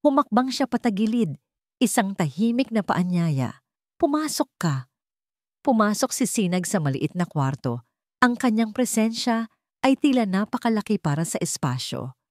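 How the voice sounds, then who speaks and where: A woman with a Filipino accent narrating a scripted romance story in a measured, emotive, dramatic way. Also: medium pitch, expressive, darkish tone, dry recording, studio quality.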